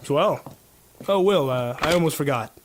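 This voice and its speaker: Male actor as noir radio police detective, somewhat high male voice, earnest, modest, plain-spoken, businesslike. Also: expressive, a little slow, noisy background. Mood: confiding. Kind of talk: 1950s noir radio drama acting.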